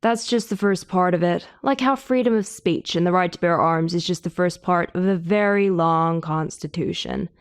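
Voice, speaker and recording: A woman voicing a sardonic young healer heroine in an audiobook, speaking in a solemn deadpan. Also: fairly low pitch, moderate pace, few pauses, clear, resonant tone, dry recording, studio quality, lightly acted. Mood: deadpan threat. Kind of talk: audiobook character acting.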